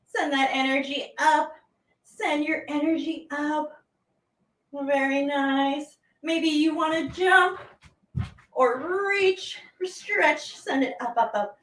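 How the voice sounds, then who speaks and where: A woman leading a children's movement warm-up as a teaching artist, playful and exclaiming, stretching out her words as she gives simple instructions. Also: high-pitched, takes it slowly. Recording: roomy echo, distant from the mic.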